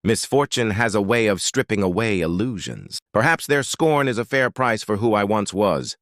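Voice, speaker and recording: Man voicing a solemn, bookish baron, lowish voice. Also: animated, no room sound, studio quality. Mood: grave resignation. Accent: British. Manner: quiet, measured, wry. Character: reclusive baron, solemn, bookish, resigned, gentle.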